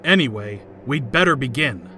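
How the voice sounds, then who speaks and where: A man's voice: medium pitch, animated, moderate pace, over music, close to an everyday voice. A man voicing an arrogant, coldly logical elite student with haughty, clipped delivery in an anime fandub. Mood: haughty, impatient.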